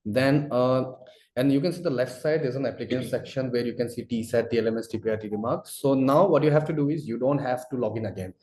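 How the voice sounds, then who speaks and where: A man presenting a product demo in a webinar, speaking haltingly with frequent "you know" fillers. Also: medium pitch, darkish tone. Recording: roomy, echoing sound.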